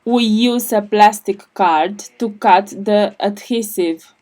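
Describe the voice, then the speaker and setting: A woman narrating a scripted step-by-step repair tutorial in short, measured sentences. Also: mid-range voice, a little mellow.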